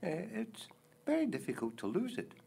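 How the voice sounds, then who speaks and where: An older man with a Scottish accent explaining things deliberately in a casual chat, the host's father. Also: sits high, huge pitch swings, creaky, mellow, husky. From Scotland.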